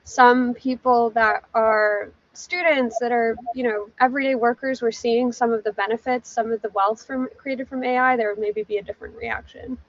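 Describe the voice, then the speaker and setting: A woman's voice: fairly high pitch, steady tone, moderate pace, hardly any pauses, dry recording. A woman giving casual, conversational news analysis as a journalist guest in an interview. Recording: muffled, distant from the mic.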